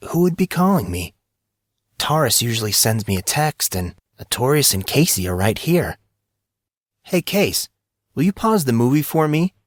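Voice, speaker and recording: A man's voice: medium pitch, big pitch swings, moderate pace, no echo, pitched above the performer's own voice. Guy doing a moody earnest teen boy in an audiobook, youthful, close dry sound. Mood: puzzled. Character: Monson, brooding fourteen-year-old schoolboy.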